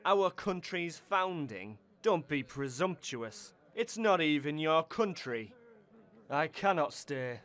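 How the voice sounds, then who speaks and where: A cold, arrogant military commander, played by a man, with a smooth, clear voice and curt, dismissive replies in a fan radio drama. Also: fairly high pitch, expressive, slow pace, fairly bright tone.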